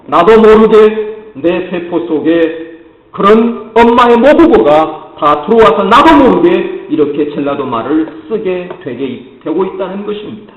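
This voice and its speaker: High-voiced preacher with a Jeolla accent. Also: male voice. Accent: Jeolla Korean. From Jeolla, South Korea. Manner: earnest preaching, often addressing the congregation directly. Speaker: pastor. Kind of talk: sermon. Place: church sanctuary. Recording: echoey church hall, muffled lo-fi sound.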